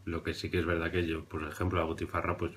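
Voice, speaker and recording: A man in his thirties from Barcelona, commenting on video clips in a dry, ironic way, with hesitant fillers and pauses, recorded on a webcam indoors. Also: sits low, flat delivery, a bit bright, slight background noise. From Barcelona, Spain. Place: indoor room before a printed backdrop.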